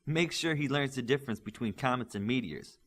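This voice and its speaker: A man's voice: medium pitch, fairly quick, fairly bright tone, pitched above the performer's own voice, close to an everyday voice. A man voicing a brainy, needling young female superhero student in an audiobook. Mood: teasing.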